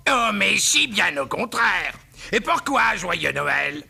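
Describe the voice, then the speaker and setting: Cartoon miser, old man: high-pitched, heavily creaky, scornful grumbler, curt and bitter. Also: very animated, takes it slowly, pushed and bright, full character voice. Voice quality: gravelly, raspy. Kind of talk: French-language cartoon voice acting.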